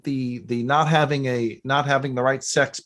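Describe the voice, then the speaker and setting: Rambling male podcast host, medium pitch. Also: steady tone, moderate pace, a bit bright. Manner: rambling, self-interrupting. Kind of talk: casual fan chat.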